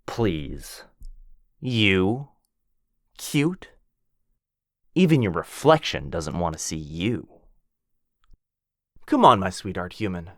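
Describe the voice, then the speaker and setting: A man's voice: low voice, sing-song, takes it slowly, pauses often, fairly bright tone, no room sound, clean studio sound, exaggerated voice. A man playing a cocky, teasing werewolf. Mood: teasing flirty.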